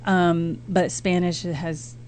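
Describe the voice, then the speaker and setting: A woman's voice: on the low side, little pitch movement, slow, darkish tone. A middle-aged American woman talking casually as a guest in a radio interview. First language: English. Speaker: guest, missionary and former teacher. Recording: background noise and hum.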